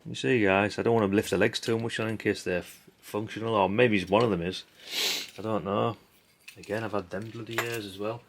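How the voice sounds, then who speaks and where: A man's voice: fairly low pitch, fairly even intonation, brisk. A middle-aged man chats casually and bluntly as a hobbyist host, rambling in a dry way with tag questions and mild swearing. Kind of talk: casual rambling commentary while sorting old electronics. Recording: clinking parts and background noise. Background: clicks and clatter of handled parts.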